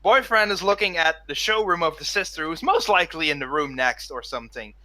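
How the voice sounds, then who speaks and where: A man chats casually as a podcast co-host in a very bright, tense voice pitched a bit high. He tells joking anecdotes and starts and restarts his phrases haltingly. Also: expressive, slight background noise. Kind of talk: casual group chat.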